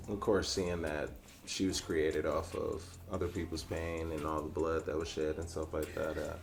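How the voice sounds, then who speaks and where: A man's voice: a bass voice, flat delivery, moderate pace, gravelly. A man co-hosting a reaction channel in casual, joking, slangy talk with loose swearing. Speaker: YouTube co-host.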